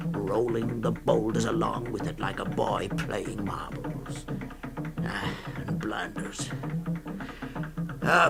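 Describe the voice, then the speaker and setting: Male storyteller, 1960s radio drama, very creaky, slow, hesitant pauses, grave, faint music behind. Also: medium pitch, full character voice. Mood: grave, halting. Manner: halting, full of hesitant uhs and pauses. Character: hesitant male storyteller recounting a grim desert tale.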